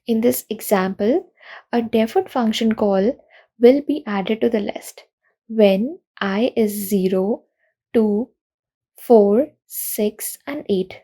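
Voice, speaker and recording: A young woman giving a recorded conference talk, explaining programming pitfalls in an earnest, steady way. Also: on the high side, slow, breathy edge. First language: not Russian. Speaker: conference speaker.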